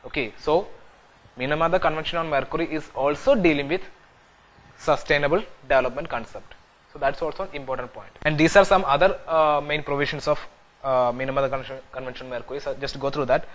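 A man's voice: a little high, fast talker, fairly bright tone, low-quality recording. A young man teaching an exam-prep class, repeating key points with frequent "okay" and "so", recorded in a studio classroom. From India. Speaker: civil services exam teacher. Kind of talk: classroom lecture on video. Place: indoor classroom studio with whiteboard screen.

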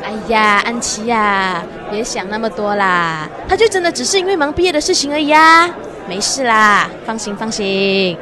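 A woman playing a high-voiced feisty young graduate. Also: sing-song, moderate pace. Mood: casual, evasive. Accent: Malaysian. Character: excitable, feisty young female university graduate. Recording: music and crowd behind voice.

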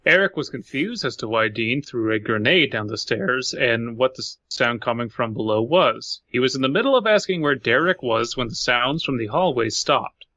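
Bright, forceful man reading, slightly dull recording. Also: medium pitch, lively intonation, normal speed, hardly any pauses, no echo. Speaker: podcast host. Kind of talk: reading a horror story aloud with banter.

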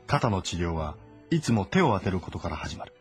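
A man with a fairly deep voice narrates a historical drama. He tells it in short, measured phrases, scripted and dramatic. Faint music sits underneath. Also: sing-song. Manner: terse, measured storytelling. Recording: voice over background music.

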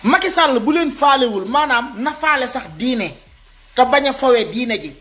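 A man in his thirties with a Senegalese accent giving impassioned, informal video commentary, animated and repeating his points as he exhorts listeners. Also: very high voice, normal speed, a little hiss or hum. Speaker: social media commentator. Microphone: phone at arm's length.

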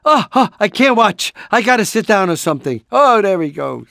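A man's voice: high-pitched, big pitch swings, normal speed, creaky, sharp tone, no room sound, clean studio sound, full character voice. A man voicing an anxious, encouraging, portly middle-aged rooster father in a cartoon video game, with a hesitant, rambling, fatherly manner. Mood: worried urging. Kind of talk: animated video game character lines.

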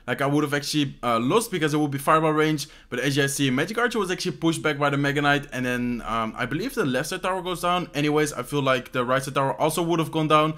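Young man, Dutch accent, slightly raised pitch, non-native English, rambling, filler-heavy, home webcam setup. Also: a bit bright. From Netherlands. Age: young adult. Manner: rambling, many "like" fillers and "how you say this" searches. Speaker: esports player answering fan questions. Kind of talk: casual Q&A chat. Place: bedroom at home, indoors.

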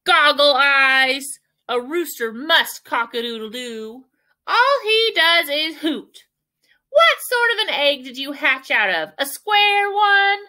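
A bossy storybook hen, as a woman reader puts her on. The voice is high and bright, swooping up as she scornfully taunts, with slow, emphatic words. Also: sing-song, heavily acted. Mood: mocking. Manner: taunting, emphatic, drawn-out delivery. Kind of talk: children's storybook read-aloud.

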